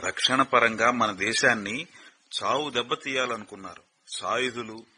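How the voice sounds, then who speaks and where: An actor playing a grave male officer who breaks hard news gently over the phone in a radio play. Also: medium pitch, breathy edge. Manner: measured, gentle, calls the girl "mother" fondly. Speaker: officer on a phone call, colleague of the father. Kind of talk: radio drama acting. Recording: radio broadcast.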